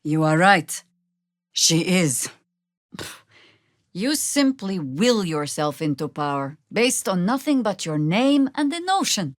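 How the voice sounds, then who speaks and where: A middle-aged fantasy warrior druid, stern and wry. She speaks slowly and deliberately in a slightly low register, with dry wit and natural authority. It is video game character work, with no room sound around the voice. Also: sing-song, no room sound. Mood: stern, pointed.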